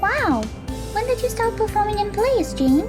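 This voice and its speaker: Woman as a high, animated teenage schoolgirl. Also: normal speed, over music. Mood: eager. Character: cheerful teenage Japanese schoolgirl, easygoing and curious. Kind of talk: audio drama acting.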